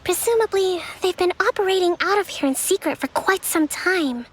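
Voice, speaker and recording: A woman voicing a composed young female strategist and priestess in video game dialogue, measured and analytical. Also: very high-pitched, slight background noise. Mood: thoughtful. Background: game music.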